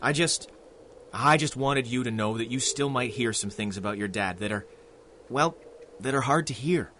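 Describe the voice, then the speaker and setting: A man acts a young police constable from a 1930s radio serial. He is earnest and resolute, with a medium-pitched voice and a defiant edge. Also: normal speed, a bit bright, a little hiss or hum, natural voice. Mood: tender, earnest. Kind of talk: 1930s radio drama acting.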